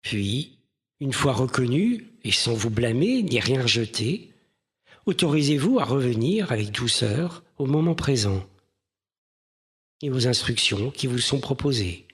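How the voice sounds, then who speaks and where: Guy doing a guided body scan meditation, husky breathy voice, gentle and measured, mid pitch. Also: very animated. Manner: gentle, measured, unhurried phrasing with pauses. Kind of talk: guided mindfulness meditation, instructional.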